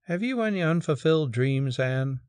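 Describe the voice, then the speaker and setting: A friendly, earnest young man from a classic storybook , acted by a man. His voice is on the high side for a man and polite in tone. The audiobook recording is close and dry. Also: animated, moderate pace, a little mellow, smooth, no echo, natural voice. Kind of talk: dramatic audiobook character reading.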